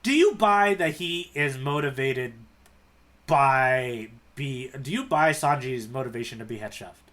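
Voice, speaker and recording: A man's voice: medium pitch, expressive, a little slow, fairly bright tone. A man co-hosting a podcast, chatting casually and often checking in with his listener. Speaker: podcast co-host. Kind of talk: casual chat, manga readalong discussion.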